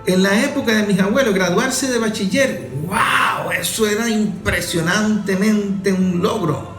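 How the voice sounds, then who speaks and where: Venezuelan male journalist host, emphatic and repetitive commentary delivery, slightly high voice. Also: measured pace. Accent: Venezuelan Spanish. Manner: emphatic, repetitive rhetorical phrasing. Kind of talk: political opinion commentary. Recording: roomy echo, faint music underneath.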